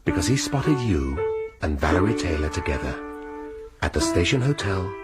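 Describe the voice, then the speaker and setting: Deep-voiced shrewd inspector. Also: male voice, very animated, over loud music. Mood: probing. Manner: cool, pointed, deliberate questioning. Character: shrewd probing male police inspector. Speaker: detective inspector. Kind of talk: radio drama acting.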